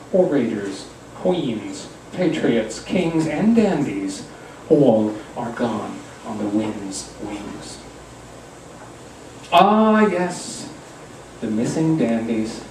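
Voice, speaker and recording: A man's voice: fairly high pitch, darkish tone. Echoey, distant man reading poetry theatrically. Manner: theatrical, deliberate verse delivery with wry asides. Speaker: poet reading at a launch. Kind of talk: dramatic live poetry reading. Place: pub reading room, indoors. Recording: echoey room, distant mic, faint noise.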